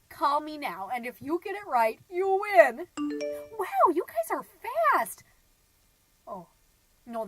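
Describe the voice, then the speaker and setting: A woman's voice: mid-range voice, a bit bright, no echo, lightly acted, poor audio. A woman playing a middle-aged suburban stay-at-home mom, gossipy and naive, rambling through a comedic podcast monologue with folksy, dramatic emphasis. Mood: perky, flustered. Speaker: podcast host.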